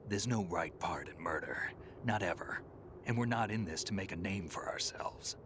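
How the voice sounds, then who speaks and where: A man voicing a gravelly, very low-voiced veteran soldier. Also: normal speed, close to an everyday voice. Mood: stern. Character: gruff veteran male soldier, terse and stoic. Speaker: game character. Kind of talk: video game cutscene voice acting. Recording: game audio with ambient noise.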